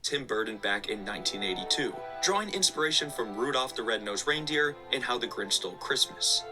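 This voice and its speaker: A man's voice: medium pitch, expressive, brisk. A man narrating a scripted video essay with casual asides. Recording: background music under the voice. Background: music.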